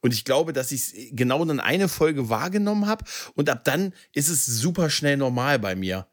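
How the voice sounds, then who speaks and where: Man, mid-pitched, casual podcast co-host, rambling, frequent tag questions. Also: very animated, moderate pace. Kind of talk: casual chat about film dubbing.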